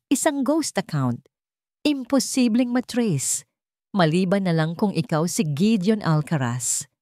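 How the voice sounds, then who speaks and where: Low-voiced woman narrating a dramatic story. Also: a little mellow, no echo, clean studio sound.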